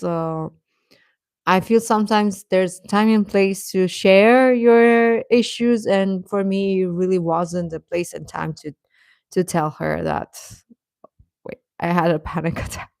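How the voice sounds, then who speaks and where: Unhurried, low-pitched woman chatting. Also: gravelly, darkish tone, no echo, clean studio sound. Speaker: livestream host chatting with viewers. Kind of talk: casual, intimate livestream chat.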